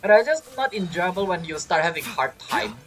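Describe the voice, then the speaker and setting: A woman's voice: lowish voice, big pitch swings, fairly quick. A woman who is not a native English speaker, chatting casually while livestreaming a game in a hesitant, rambling way that circles back on itself. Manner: hesitant, rambling, repeats phrases. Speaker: game streamer. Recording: game music behind the voice. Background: video game music and character voices.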